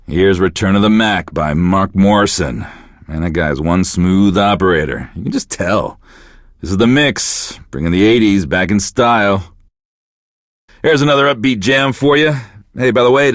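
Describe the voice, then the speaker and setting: A sad-sack middle-aged male DJ for a game radio station. His low voice sits in a dry studio sound, and he delivers bitter, deadpan banter that keeps sliding into self-pity. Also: dry recording. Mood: bitter, sardonic. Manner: deadpan, sardonic, self-deprecating. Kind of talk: radio show hosting, comic self-pitying banter.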